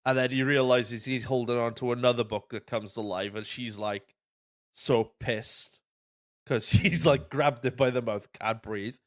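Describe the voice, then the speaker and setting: A man hosting a casual film podcast, retelling plots in an animated, sweary way. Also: medium pitch, unhurried. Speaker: podcast host.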